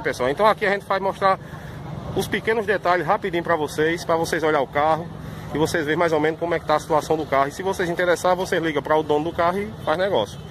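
A man, giving a casual, informal walkaround of cars at an outdoor car fair, filmed on a phone. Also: fairly high pitch. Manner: chatty, informal, repeats "pessoal". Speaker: car vlogger. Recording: outdoor street noise behind. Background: traffic and crowd ambience.

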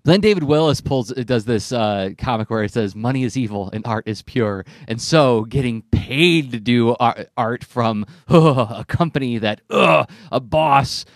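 A man's voice: mid-range voice, unhurried, hardly any pauses, dry recording. Man hosting, close dry sound. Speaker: podcast host. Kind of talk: conversational podcast discussion. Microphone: close studio microphone on an arm, with headphones.

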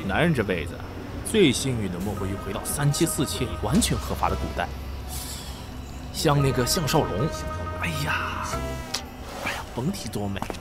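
A man's voice: medium pitch, sing-song, normal speed, pauses often, close to an everyday voice. A man playing a crude, lecherous, easily panicked nineteen-year-old student in a comic audio drama, exclaiming and stammering melodramatically. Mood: smug, dreamy. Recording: roomy sound with music behind.

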